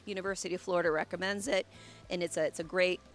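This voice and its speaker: A woman's voice: lowish voice, fairly even intonation, fast, over music. A woman in her thirties, a landscape designer, giving an enthusiastic, chatty walkthrough of her garden outdoors on a handheld mic while losing her voice.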